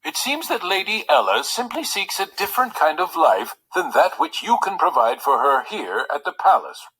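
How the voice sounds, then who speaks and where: A man's voice: high-pitched, sing-song, moderate pace, pushed and bright, slightly rough, pitched above the performer's own voice, full character voice, poor audio. A man voicing an older royal valet in a storybook, loyal and frank, advising his master. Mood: stiff, consoling. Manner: formal and stiff, turning blunt and casual.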